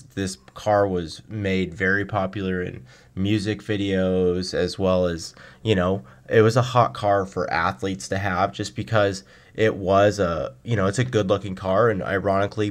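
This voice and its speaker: A man's voice: low voice, level tone, normal speed, few pauses. A man giving conversational answers as an expert guest in a radio interview. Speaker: guest, car blog writer.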